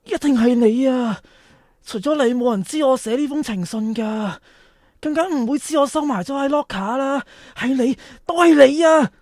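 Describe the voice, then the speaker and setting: A woman's voice: sits high, normal speed, darkish tone. A woman voicing a shy, awkward, lovesick schoolboy with a Hong Kong Cantonese accent in an audio drama. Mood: hurt, accusing. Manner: stammering, hesitant when flustered.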